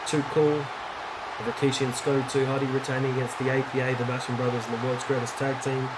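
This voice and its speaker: Guy casually rambling about wrestling trivia while gaming, normal pitch, really loud game noise behind him. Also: level tone, quick pace. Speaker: gaming video commentator. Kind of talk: casual gameplay commentary. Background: wrestling game audio.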